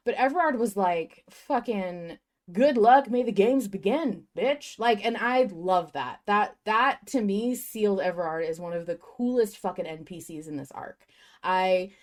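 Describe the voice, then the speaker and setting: A woman with a slightly low voice co-hosts a casual fan discussion show. She speaks emphatically and informally, peppering her opinions with slang and profanity. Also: darkish tone. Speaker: podcast host.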